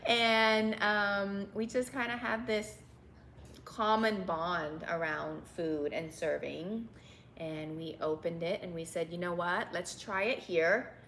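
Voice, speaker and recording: A woman's voice: on the low side, steady tone, takes it slowly, a bit bright, a little hiss or hum. A woman from Texas raised in Bangkok, a restaurant owner telling her story in a candid interview, with many ums and easy laughs. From Texas and Bangkok, Thailand. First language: Thai.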